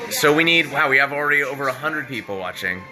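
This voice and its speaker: A man's voice: mid-range voice, expressive, fast, over music. A man hosting a casual livestream from his living room while a guest plays, upbeat, laughing often and asking questions. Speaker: streamer host.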